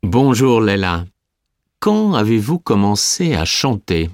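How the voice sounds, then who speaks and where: An actor voicing a male interviewer asking a singer questions, speaking slowly and deliberately in a scripted exam dialogue. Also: on the low side, sing-song, no echo, clean studio sound. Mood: polite.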